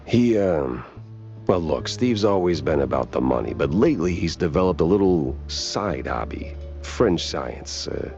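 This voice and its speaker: Very deep-voiced, wry noir detective. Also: male voice, lively intonation, measured pace, creaky, darkish tone, muffled recording, faint music behind, heavily acted. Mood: wry, uneasy. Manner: wry, laconic, hesitant drawl. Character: world-weary wisecracking male noir private detective, cartoon mouse. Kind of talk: 1930s hardboiled detective game acting.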